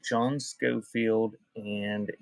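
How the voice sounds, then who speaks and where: A man's voice: fairly low pitch, fairly even intonation, drawn-out words, vocal fry, no room sound. A man hosting a casual live sale, chatting off the cuff with viewers and reading out items. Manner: off-the-cuff, addresses chat viewers by name. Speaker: live-stream auction seller of vintage music.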